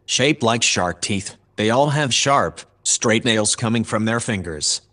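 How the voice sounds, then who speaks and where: A calm shipboard observer played by a man narrates a sci-fi audio drama. His voice sits on the low side. He describes everything in measured, matter-of-fact detail. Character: male narrating observer calmly reporting aboard a spaceship.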